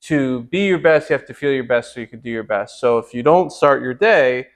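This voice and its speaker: A man's voice: medium pitch, lively intonation, a little fast. A man hosting a podcast interview, talking enthusiastically in long run-on sentences. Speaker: podcast host. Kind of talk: casual interview talk.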